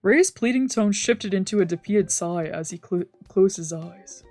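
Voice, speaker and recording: A woman's voice: mid-range voice, normal speed, dark tone, soft background music. A woman reading visual novel narration aloud as a let's player. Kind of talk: scripted narration, read aloud.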